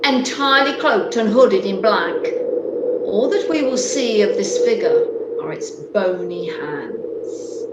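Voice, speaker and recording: A woman reading scripted narration as the measured storyteller of an amateur radio play. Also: mid-range voice, animated, muffled recording. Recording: very noisy, roomy video call audio.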